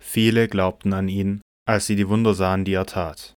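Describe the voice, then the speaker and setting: A man narrating a scripture audio drama in a measured, solemn manner from a script. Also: deep voice, flat delivery, dry recording, clean studio sound.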